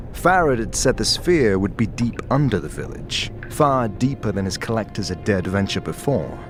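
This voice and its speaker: A man narrating a dramatic second-person story in a measured, atmospheric manner. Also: fairly low pitch. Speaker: narrator and lead player.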